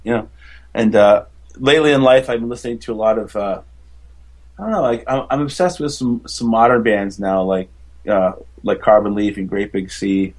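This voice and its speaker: Rambling low-voiced baritone man. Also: normal speed. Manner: rambling, enthusiastic, many fillers and restarts. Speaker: guest musician and podcaster. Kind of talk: casual interview chat.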